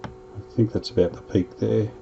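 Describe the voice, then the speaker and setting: Lower-voiced man, casual hands-on workshop narrator who thinks aloud, dull lo-fi sound. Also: fairly even intonation, gravelly, mellow, a little hiss or hum. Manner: thinks aloud while working, chatty and unhurried. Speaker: vintage radio restorer.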